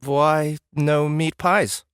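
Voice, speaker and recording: A man's voice: fairly high pitch, steady tone, drawn-out words, a bit bright, clear, resonant tone, dry recording, studio quality, pitched above the performer's own voice. A male narrator voicing an earnest young newcomer hero with a friendly, eager way of talking.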